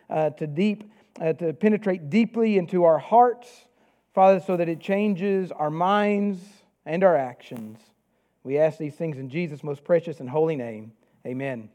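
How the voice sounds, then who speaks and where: A man with a Southern US accent preaching a sermon, reading scripture and praying in an earnest, measured way. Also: a little high, mellow.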